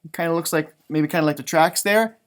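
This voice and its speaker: A man with a fairly light, high-set voice leads a storytime for young children. He is chatty and enthusiastic, speaks directly to his listeners, and draws words out for emphasis in the casual way of an elementary school teacher. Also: fast talker. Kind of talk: casual storytime read-aloud talk for children.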